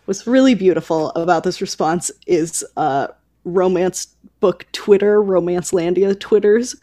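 A woman's voice: lowish voice, unhurried, mellow. A woman hosting a podcast in a casual, conversational style, informal and full of asides. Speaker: podcast host. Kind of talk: casual conversational book chat.

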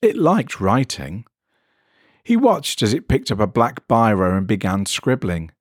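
A man narrates a short story in a low voice. His delivery is animated, with wide swings in pitch, and the sound is dry and close. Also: unhurried, slightly rough, no echo. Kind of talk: expressive scripted story narration.

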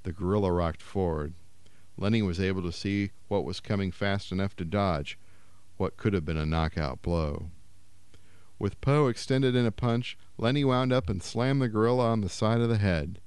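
Low-voiced man reading a story. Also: a little mellow, clean tone, no room sound. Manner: measured storytelling. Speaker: story reader.

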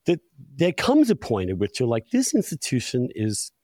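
A man's voice: mid-range voice, wildly swooping pitch, normal speed, a little mellow, no echo, clean studio sound. A man speaking emphatically and with strong opinions in a conversational interview, as a podcast host.

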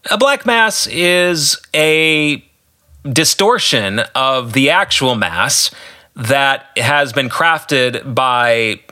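Bright, slow-paced man with deliberate emphasis. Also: fairly high pitch. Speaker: podcast host and author commenting on own novel. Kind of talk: solo podcast commentary, explanatory storytelling.

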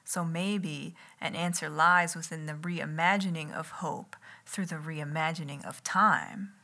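A woman reading her own writing aloud as an ASMR read-along, in a deliberate, literary manner with wry asides, close to the mic in a home kitchen. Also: deep voice, fairly even intonation, creaky, sharp tone. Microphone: close enough to catch breath and lips.

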